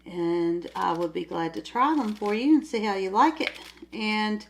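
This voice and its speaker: An older woman talks at an unhurried, drawn-out pace, her voice a little nasal because she is getting over a cold. She rambles cheerfully from one thought to the next while crafting at home, with a faint hiss under her voice. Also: medium pitch, animated, vocal fry. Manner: rambling, chatty, easily sidetracked. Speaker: crafting vlogger. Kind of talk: casual chat while diamond painting.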